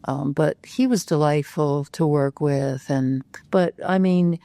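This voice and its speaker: Older actress guest with a low, dry voice; loose, filler-heavy anecdotes. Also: female voice, slow, creaky, warm tone, no echo. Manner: rambling, many "you know" fillers, restarts. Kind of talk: casual interview chat.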